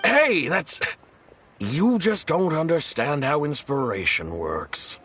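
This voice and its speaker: A man's voice: a little high, big pitch swings, slow, fairly bright tone, soft background music, full character voice. A man playing a boastful, easily flustered young storyteller in an anime game, with a theatrical, self-dramatizing delivery. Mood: indignant.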